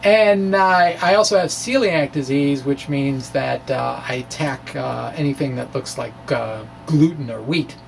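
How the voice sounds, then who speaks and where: A middle-aged man doing a dramatic reading of a science blog, halting and wry, with informal self-deprecating asides. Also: medium pitch, creaky, faint music behind. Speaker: science video blogger. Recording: distant mic, faint background noise.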